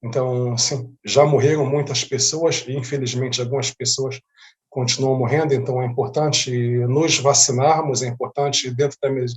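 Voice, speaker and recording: Male, Brazilian accent, middle register. Interview guest, earnest and conversational, uses repetition for emphasis. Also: medium pitch. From born in Rio de Janeiro, Brazil, feels Bahian. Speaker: interview guest, humanitarian diplomat.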